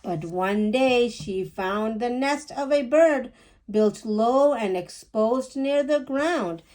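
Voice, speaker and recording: A woman reading a rhyming children's picture book aloud with deliberate, animated phrasing. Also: a little high, low-quality recording.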